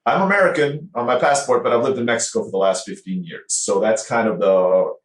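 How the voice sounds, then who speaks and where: A man talks quickly and animatedly, recounting his business story as a podcast guest. His mid-pitched voice carries a noticeable echo from the room around him, and his delivery rushes ahead with lively emphasis. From born in the Philippines, grew up in Turkey. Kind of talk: casual interview talk. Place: home office in Mexico. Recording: echoey room.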